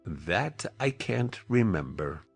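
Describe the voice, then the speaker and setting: Man acting a gravelly, nervous muddled hatter. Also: deep voice, very animated, slow, faint music behind, exaggerated voice. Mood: pleading, flustered. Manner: hesitant, rambling, apologetic. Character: nervous, muddled poor hatter pleading before royalty. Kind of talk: dramatized audiobook acting.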